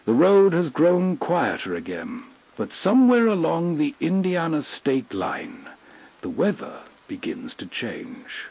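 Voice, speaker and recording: Slow, breathy male night-time narrator. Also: on the high side, huge pitch swings, a little mellow. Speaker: host and narrator. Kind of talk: slow atmospheric scripted bedtime-story narration.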